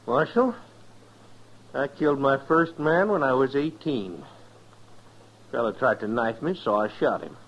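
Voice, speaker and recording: An actor playing a cold, defiant gunslinger in a 1950s radio western, with a folksy rural American West accent and a husky voice. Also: male voice, mid-range voice, animated, unhurried, long pauses, a bit bright. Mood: cocky, evasive. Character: cold, defiant male gunslinger who kills without remorse. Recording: muffled 1950s radio recording.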